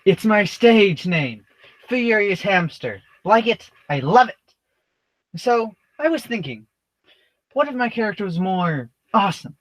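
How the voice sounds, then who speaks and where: A man plays an oblivious, cocky amateur actor. His high voice bounces around animatedly, close and dry, with breezy self-satisfaction in a broad comic style. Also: sing-song, measured pace, no echo, low-quality recording. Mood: cocky, excited. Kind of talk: broad stage comedy acting.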